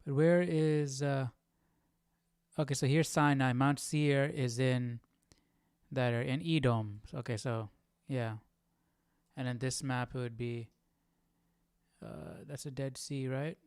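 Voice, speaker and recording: Smooth-voiced man thinking aloud, unhurried. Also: mid-range voice, steady tone, darkish tone, no echo, studio quality. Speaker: livestream Bible study host. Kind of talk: casual chat, thinking aloud.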